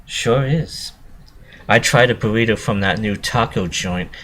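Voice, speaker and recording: A man's voice: lowish voice, a little slow, fairly bright tone, voice pushed up. A man voicing a timid, introverted young female pegasus pony, sheepish but prickly, in a story reading. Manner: sheepish, prickly when challenged.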